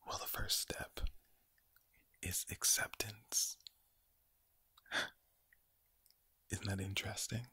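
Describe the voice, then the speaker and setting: Very low breathy whispering man, slow. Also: steady tone, sharp tone, dry recording. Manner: slow, deliberate, repeating words and phrases; soothing but sinister-sounding. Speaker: ASMR performer. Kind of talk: ASMR monologue of whispered and low soft reassurance. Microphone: close microphone.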